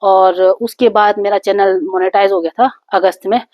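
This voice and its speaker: A woman talks casually to her camera as a vlogger, rambling along with plenty of fillers and restarts. Her voice sits at an ordinary middle pitch, a little rough from a lingering cold. The recording is dry, with no room echo. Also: steady tone, normal speed, muffled recording. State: sore throat from a cold. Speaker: YouTube vlogger. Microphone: phone.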